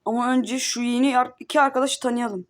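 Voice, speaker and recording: A woman's voice: fairly high pitch, fairly even intonation, brisk, lightly acted. A woman voicing a stern cartoon schoolteacher who lectures and scolds the class. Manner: bossy, lecturing.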